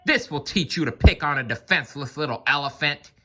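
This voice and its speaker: A man's voice: a little high, lively intonation, fairly quick, pushed and bright, voice pushed up, heavily acted. Man voicing an earnest, protective mother elephant. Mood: indignant. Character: protective mother elephant, tender but fierce about her baby. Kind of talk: storybook character voice.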